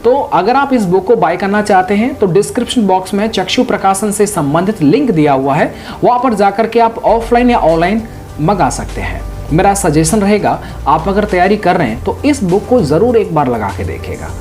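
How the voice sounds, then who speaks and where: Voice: mid-range voice, big pitch swings, moderate pace, darkish tone, soft background music. An exam-prep presenter explaining things informally to students in a didactic way, checking in with rhetorical questions.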